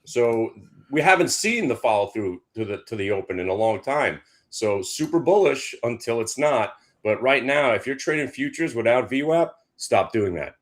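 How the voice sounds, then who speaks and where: A man's voice: medium pitch, animated, moderate pace. A man giving casual market chart commentary in an emphatic, instructive way, like a trading coach. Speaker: trading educator.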